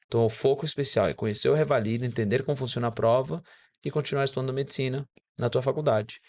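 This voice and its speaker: A man's voice: mid-range voice, steady tone, quick pace, darkish tone. A man whose first language is Spanish, speaking as a doctor guest on a casual podcast and explaining things with frequent self-corrections.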